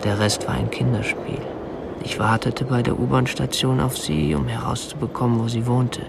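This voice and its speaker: An actor playing a prim, obsessive young kidnapper in a radio drama, speaking in a matter-of-fact, politely stiff and controlled way. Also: male voice, mid-range voice, fairly even intonation, moderate pace, creaky, heavy background noise, lightly acted. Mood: detached, confessional. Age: young adult. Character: prim, obsessive young male kidnapper confessing his crime. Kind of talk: radio drama narration and dialogue.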